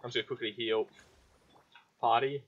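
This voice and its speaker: Mid-pitched man, casual gameplay commentary. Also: steady tone, normal speed, phone-quality sound. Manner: reads on-screen prompts, brief offhand remarks. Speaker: gaming video creator.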